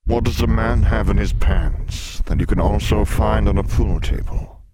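A man voicing a huge, mocking and menacing sphinx in an audiobook, booming and theatrical. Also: moderate pace, background noise, natural voice. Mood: stern. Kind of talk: audiobook character voice.

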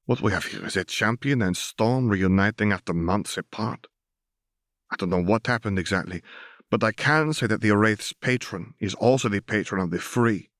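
A man voicing a firm, resolute woman with a measured, unyielding manner in an audiobook. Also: lowish voice, a bit bright, no echo, studio quality, lightly acted. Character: firm, resolute woman defending her companions.

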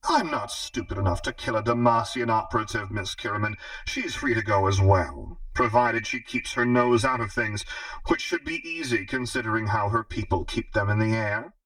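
A man's voice: on the low side, very animated, moderate pace, fairly bright tone, no echo, recorded from a distance, full character voice, rough recording. A man voicing a smug, menacing female crime boss in an audiobook, with a condescending, mocking drawl. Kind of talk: audiobook character dialogue.

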